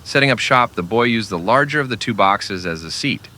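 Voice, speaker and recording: A man narrating a scripted western audio drama as a dramatic, measured storyteller. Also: fairly low pitch, animated, bright, forceful tone.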